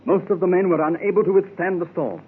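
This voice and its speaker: Grave old-school explorer telling his tale, husky, tinny old radio. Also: high voice. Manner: grave, measured storytelling. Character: seasoned male explorer recounting his expedition. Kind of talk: 1930s radio acting. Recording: tinny 1930s radio recording.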